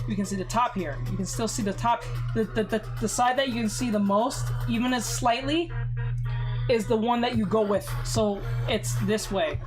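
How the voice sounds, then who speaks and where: An art streamer running a live drawing tutorial, voice going hoarse, rambling with many fillers and restarts. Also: mid-range voice, poor audio. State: losing voice, hoarse.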